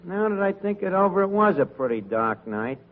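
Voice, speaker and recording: Casting: wisecracking, uneducated barkeep, high-pitched and lively, vintage narrow-band radio comedy. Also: male voice, a little fast, over a noisy crowd, very noisy. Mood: mock-polite, flustered. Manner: mangled grammar, mock-polite, brash. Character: scheming, wisecracking tavern manager, brash and uneducated. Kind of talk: 1940s radio comedy acting.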